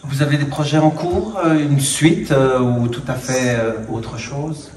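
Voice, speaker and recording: A man's voice: medium pitch, measured pace, a little mellow. A man from Belgium interviewing authors at a book festival, asking polite questions between short interjections. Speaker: literary interviewer. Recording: roomy, distant, noisy venue.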